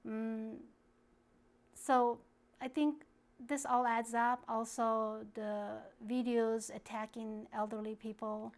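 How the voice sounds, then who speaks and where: A woman answers interview questions, her voice sitting a little high. She talks in a halting way, stopping to search for English words and starting over. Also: little pitch movement. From China. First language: Kazakh. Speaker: guest activist.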